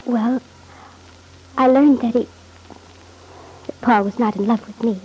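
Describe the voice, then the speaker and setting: Fragile, halting, mellow-voiced witness. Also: female voice, a little high, steady tone, breathy edge. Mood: hesitant, pained. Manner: hesitant, halting. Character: fragile, lonely young wife testifying under strain. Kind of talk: classic radio soap opera acting. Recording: noisy old radio drama recording.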